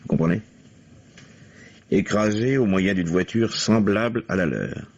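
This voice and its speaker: An actor playing a stern, controlled police investigator who questions curtly and insistently in a vintage radio mystery. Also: male voice, lowish voice, a little slow. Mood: grave. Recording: dull, lo-fi old radio recording.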